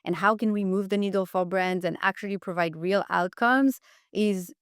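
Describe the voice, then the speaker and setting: A woman's voice: medium pitch, moderate pace, clear, resonant tone, no echo, clean studio sound. A woman speaking as a podcast guest, giving enthusiastic, explanatory answers in a conversational interview style. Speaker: podcast guest, marketing executive.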